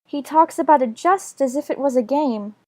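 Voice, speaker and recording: A man voicing a polite, earnest seven-year-old girl who speaks gently. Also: very high voice, normal speed, no room sound, voice pushed up. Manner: gentle, polite, a bit anxious.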